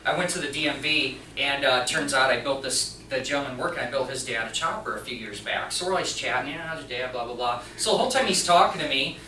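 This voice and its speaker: Bright-voiced man speaking in an echoey room. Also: medium pitch, fast talker, hardly any pauses. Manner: animated storytelling, acting out dialogue. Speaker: speaker and channel host. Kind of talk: informal instructional talk with anecdotes. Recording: roomy echo, distant mic, faint noise.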